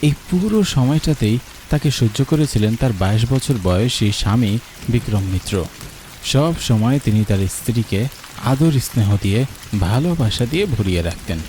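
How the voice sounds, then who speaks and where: A man reading scripted storybook narration for an animated tale. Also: mid-range voice, moderate pace, slight background noise.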